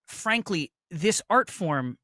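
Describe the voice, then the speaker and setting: Guy getting interviewed, really animated and emphatic, slightly high voice, dry close sound. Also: slow, dry recording. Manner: emphatic, persuasive, articulate. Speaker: interview guest, festival organizer and actual play producer. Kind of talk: enthusiastic conversational interview.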